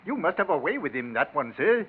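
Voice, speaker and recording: An actor playing a blunt, excitable, deferential male servant with a Yorkshire accent in a 1940s radio mystery. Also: on the high side, a little fast. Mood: grumbling, amused. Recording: dull, very noisy 1940s radio recording.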